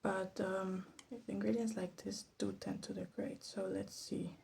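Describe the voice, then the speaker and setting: Hushed, creaky-voiced woman doing soft ASMR commentary. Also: mid-range voice, little pitch movement, unhurried. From Latin America. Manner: hushed, often slipping into a whisper; hesitant, musing, self-deprecating. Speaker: ASMR video host. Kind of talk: soft-spoken ASMR commentary while demonstrating. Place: bedroom. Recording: faint background noise, clicking sounds.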